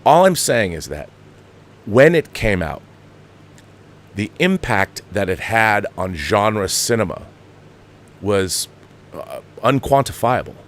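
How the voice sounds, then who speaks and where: A middle-aged American man with a fairly low voice hosts a film commentary show. He speaks off the cuff and with passion, leaning hard on words, stopping and restarting as he wanders into side points. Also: very animated. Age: 50s. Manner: emphatic, halting, digressive delivery.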